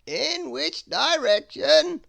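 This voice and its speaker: Wise old storybook wizard, played by a narrator: theatrical, bright, very animated delivery. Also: sits high, gravelly, dry recording, voice pushed up, exaggerated voice. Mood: amazed, booming. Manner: theatrical, drawn-out exclamations. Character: wise old male wizard, grandly exclaiming, kindly. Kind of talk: storybook read-aloud character voice.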